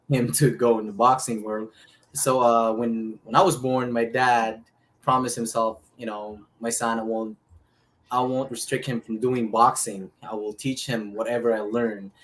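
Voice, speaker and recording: A man with a Filipino accent giving casual interview answers as a boxer, speaking haltingly with pauses mid-sentence. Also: medium pitch, fairly bright tone. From Philippines. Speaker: professional boxer, interview guest. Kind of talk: casual interview answers, recounting his life.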